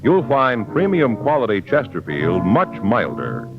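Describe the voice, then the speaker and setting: A man's voice: medium pitch, huge pitch swings, a little slow, over music. A man with an American accent announcing and plugging the sponsor in 1950s radio style. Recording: muffled old radio broadcast.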